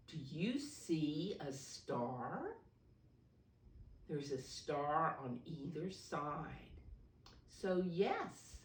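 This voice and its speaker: Female preschool storyteller, animated intonation, unhurried, asking simple questions, roomy echo, distant mic. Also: sits low. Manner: simple questions and explanations to small children. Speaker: preschool storybook reader, teacher figure. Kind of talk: read-aloud picture book for preschoolers.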